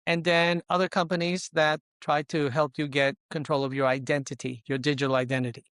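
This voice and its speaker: A man in his sixties from Colombia, answering interview questions in deliberate short phrases that often list things. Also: fairly high pitch, little pitch movement, fairly bright tone, clear, resonant tone, dry recording, clean studio sound. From born in Colombia, immigrated as a small boy. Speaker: podcast guest, luxury consultant and investor.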